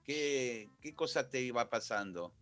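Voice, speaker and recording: A man hosting a radio interview, relaxed and colloquial. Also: medium pitch, unhurried, soft background music. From Argentina. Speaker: radio host. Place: radio studio.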